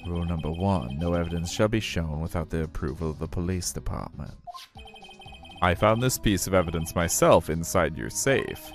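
A man playing a determined, confident young defence lawyer in a video game, arguing emphatically and deliberately. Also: low-pitched, faint music behind. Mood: methodical.

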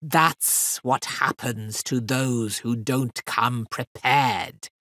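A huge fire fiend speaks with slow, booming scorn, as a woman reader puts him on. His voice sits low and drags each word out. The storybook recording is close and dry. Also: fairly bright tone, no echo, heavily acted. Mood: roaring. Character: huge roaring male fire fiend, mocking. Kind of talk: storybook reading.

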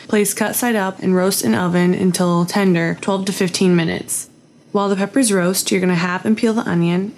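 A young woman reading out a recipe's ingredients and steps in a steady, instructional way. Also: mid-range voice, slight background noise. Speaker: home cook. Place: home kitchen, indoors.

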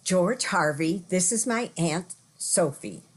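An older woman playing a stubborn, exasperated newspaperwoman in a radio play, husky and theatrical, over a video call. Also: lowish voice, expressive, slow pace, heavily acted. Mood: flustered, dismissive. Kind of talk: old-time radio play acting.

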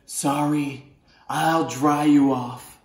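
A man voicing a cheerful, mischievous octopus from a picture book, with an emphatic, theatrical, drawn-out delivery. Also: on the high side, steady tone, reverberant. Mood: eager, helpful. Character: cheerful, mischievous male octopus, eager to please his friend.

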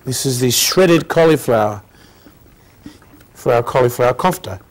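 A man in the middle of a cooking demonstration, explaining each step as he works. His voice sits at an ordinary male pitch, and he lists ingredients and measures in an easy, friendly way. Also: animated, moderate pace. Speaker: cooking show host.

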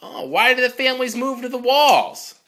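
A father filming his young son in a basement, chatting playfully and asking gentle questions. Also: extremely high pitch, sing-song, moderate pace, a little hiss or hum. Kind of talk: casual home-video chat with a child. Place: concrete-block home basement, indoors. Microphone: handheld camera held by the speaker.

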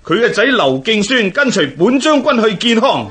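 An actor playing an ambitious, pompous rebel warlord in a historical radio drama, gloating in a raspy voice. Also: male voice, high voice, big pitch swings, loud music behind the voice. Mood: commanding. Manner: pompous, slow, boastful.

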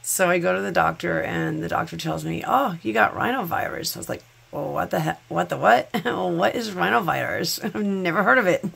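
A woman's voice: lowish voice, normal speed, gravelly, hardly any pauses, a bit bright, breathy edge. A woman hosting her nail channel, congested from a cold, chatting effusively and thanking her viewers while she unboxes products at home. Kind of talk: sponsored unboxing vlog. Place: home nail studio, table top.

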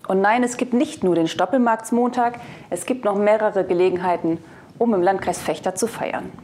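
A woman's voice: medium pitch, normal speed, noisy background. A woman in her thirties presenting a scripted video review, addressing viewers casually into a lapel mic.

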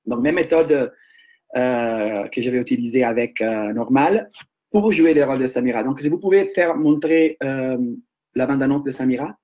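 A man in his forties from Italy, speaking as a researcher and filmmaker on a round-table panel, hesitant with many pauses and restarts, over a webcam video call from home. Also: medium pitch, mellow. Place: room at home.

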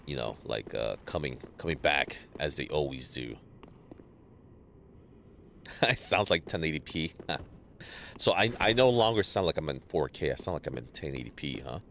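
A man streaming a game live, chatting casually with viewers and greeting them by name, sounding a little stuffy from allergies, on a boom mic. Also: normal speed, gravelly, long pauses, a little hiss or hum. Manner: greets chat viewers, relaxed banter. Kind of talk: casual live gameplay chat.

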